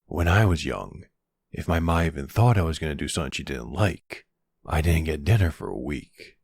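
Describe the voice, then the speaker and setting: A man playing a suave middle-aged mafia boss in a boyfriend audio roleplay, gravelly, measured and wry, commanding but capable of tenderness. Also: a bass voice, animated, darkish tone, no room sound, studio quality, full character voice. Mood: scolding, threatening. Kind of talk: ASMR boyfriend roleplay acting.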